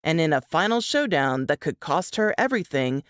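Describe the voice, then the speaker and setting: A woman's voice: low-pitched, big pitch swings, moderate pace, dry recording, clean studio sound. A woman reading a scripted book summary as a narrator.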